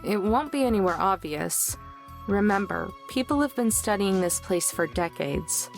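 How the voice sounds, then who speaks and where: Visual novel heroine: young, mid-pitched, dry, deadpan, guarded, soft underneath, faint music behind. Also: female voice, moderate pace, vocal fry. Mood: practical, cautious. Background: game music.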